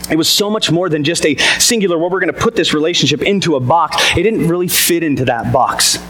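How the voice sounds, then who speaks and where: A man with a boomy voice giving an energetic, chatty sermon to a church congregation, asking the listeners questions. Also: fast, a little hiss or hum. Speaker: pastor. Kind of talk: casual conversational sermon. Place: church service before a congregation. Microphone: amplified mic on a sound system.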